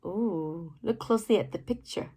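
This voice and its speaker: A woman reading children's poetry aloud with theatrical, deliberate phrasing and playful emphasis, adding asides as the reader. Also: mid-range voice, mellow, husky. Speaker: read-aloud host, teacher-like reader.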